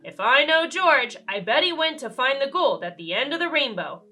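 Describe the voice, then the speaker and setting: Woman as a grown man, caring, resourceful, reassuring, bright tone, children's storybook. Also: high voice, very animated, moderate pace, faint music behind, exaggerated voice.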